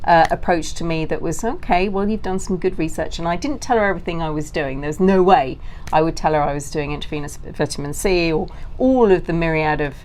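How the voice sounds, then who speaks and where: British woman, middle-aged, low voice, informal interview guest who explains at length, faint background noise. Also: a little fast, hardly any pauses, darkish tone, poor audio.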